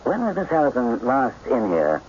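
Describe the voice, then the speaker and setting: A man playing a brisk, exacting detective gentleman in a radio mystery, speaking formally and precisely with a commanding, clipped manner. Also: medium pitch, huge pitch swings. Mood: calm, probing. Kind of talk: radio mystery drama acting. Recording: dull, noisy old radio drama broadcast.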